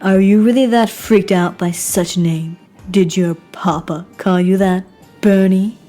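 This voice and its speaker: A woman's voice: medium pitch, fairly even intonation, unhurried, warm tone, close to an everyday voice. Cruel young male villain acted by a woman, menacing, goading, game character, background music. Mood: taunting. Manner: goading, sinister. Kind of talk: amateur game voice acting.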